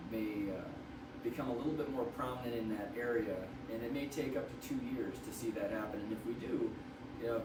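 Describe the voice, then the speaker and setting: A man, a radiation oncologist, explaining treatment to patients in a measured way, slightly hoarse and often restarting his phrases. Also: on the low side. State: hoarse from wildfire smoke. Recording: roomy, from far off, noisy.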